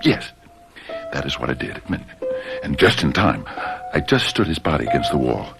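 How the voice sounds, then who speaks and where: An actor playing a guilt-ridden, anxious murderer in old-time radio drama style, halting and stammering as he repeats himself. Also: rumbling low, very animated, creaky, music in the background, exaggerated voice. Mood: tense, confessional. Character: guilt-ridden anxious man who has committed murder, confessing and faltering.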